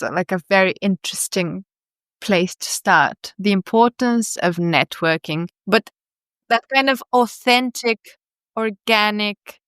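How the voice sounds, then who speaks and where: A woman's voice: medium pitch, a bit bright, smooth, dry recording. A woman hosting an interview podcast, musing aloud in an easy, reflective way. She checks in with little tag questions as she goes. Her voice sits dry and close, with no room sound. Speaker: podcast host. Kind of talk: conversational interview.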